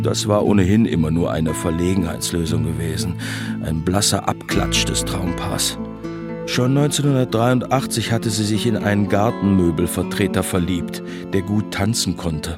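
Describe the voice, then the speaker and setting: A man's voice: low voice, natural voice. Man acting a world-weary narrator over guitar music. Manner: melancholy, literary, reflective. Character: world-weary, self-pitying alcoholic man looking back. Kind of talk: radio drama narration. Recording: loud plucked guitar music behind.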